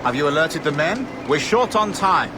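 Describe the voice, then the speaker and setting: An actor playing a calm, calculating villainous officer in a video game, formal and measured in his explanations. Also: a little high, noisy background, lightly acted. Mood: commanding. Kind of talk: scripted video game dialogue.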